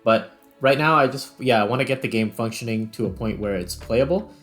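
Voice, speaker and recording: A man's voice: mid-range voice, brisk, fairly bright tone. Male tutorial host, middle-aged game developer, casual delivery, music underneath. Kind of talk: casual screen-recorded tutorial narration.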